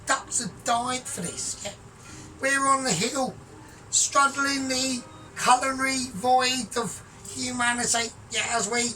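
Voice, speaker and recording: Rambling, sweary man cooking far from mic. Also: extremely high pitch. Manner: softly spoken, often trailing off quiet. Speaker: home cook vlogger. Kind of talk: casual cooking vlog. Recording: distant mic with music behind.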